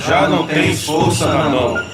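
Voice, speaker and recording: A man playing a grieving farmhand in an animated film, reciting grave, measured, half-sung funeral verse. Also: a little high, close to an everyday voice. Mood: grave, mournful. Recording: echoey, with loud music behind.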